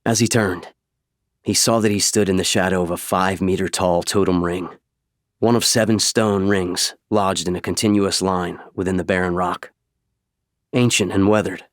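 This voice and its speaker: A man reading scripted audiobook narration in a measured, dramatic way, close to a studio microphone. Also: low voice, dry recording.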